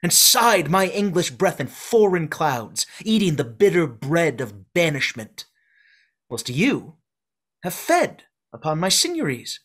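A man in a video-call Shakespeare reading, playing an exiled duke who forcefully claims his inheritance. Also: on the high side, sing-song, measured pace, exaggerated voice. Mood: condemning. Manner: forceful declamation. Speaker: actor. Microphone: video call webcam.

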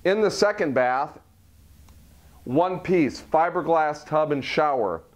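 A man's voice: on the high side, expressive, takes it slowly. A middle-aged man leading a walkthrough tour of a model home, listing its features in a salesy way. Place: furnished model home, indoors.

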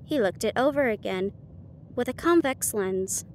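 A woman narrating a classic story aloud in an expressive storybook style. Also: a little high, normal speed, a little hiss or hum.

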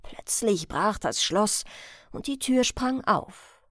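Slow-paced, expressive woman reading a crime story. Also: mid-range voice, dry recording, in a whisper, studio quality. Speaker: audiobook narrator. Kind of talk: scripted crime story narration.

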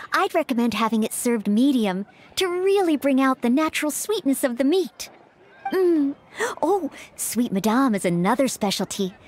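High-voiced earnest young maid knight. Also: female voice, a little hiss or hum. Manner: courteous, attentive, formal. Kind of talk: scripted fantasy game voice acting.

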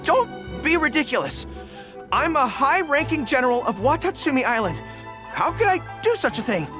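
A young male dog-eared general from a video game. His delivery swings widely in pitch, earnest and emphatic, quick to get flustered. Music plays clearly behind him. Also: sing-song. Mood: flustered, indignant. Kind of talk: acted video game dialogue.

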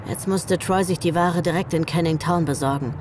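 A woman's voice: fairly low pitch, moderate pace, noisy background, lightly acted. An actress playing a sullen, hard-bitten young woman, narrating an audio drama in a terse, sardonic manner. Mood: sardonic, irritated.

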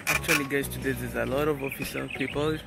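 A man's voice: mid-range voice, fairly even intonation, fast talker, creaky, very noisy. A young man, casually vlogging outdoors while riding a bicycle and chatting straight to his viewers. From Kenya. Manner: chatty, keeps calling out to viewers as "guys". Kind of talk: casual vlog to camera. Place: outdoors on an open roadside. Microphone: phone held at arm's length.

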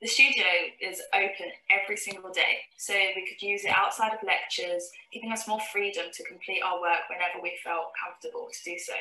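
A woman's voice: mid-range voice, fairly even intonation, a little fast, few pauses, sharp tone, roomy sound, distant mic, low-quality recording. A female architecture graduate describing studio life in a matter-of-fact way. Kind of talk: testimonial for a promo film.

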